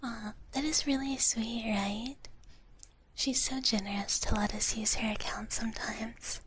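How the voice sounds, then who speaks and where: A woman livestreamer whispering breathily and gently into a close microphone as she chats playfully with viewers in ASMR style, in a big-sister dragon persona. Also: fairly high pitch, steady tone, unhurried. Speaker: livestream host. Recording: close-up whisper with clear background noise.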